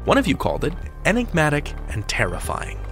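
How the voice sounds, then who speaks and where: A man hosting a podcast with scripted narration in dramatic, measured phrasing. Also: a little high, wildly swooping pitch, fairly bright tone, faint music behind. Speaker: podcast host.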